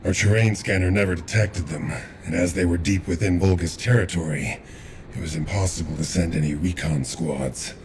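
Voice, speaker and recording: An actor playing a steadfast, scholarly military commander briefing troops in a video game, measured and grave. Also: male voice, steady tone. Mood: grave resolve. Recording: rumbling game noise behind.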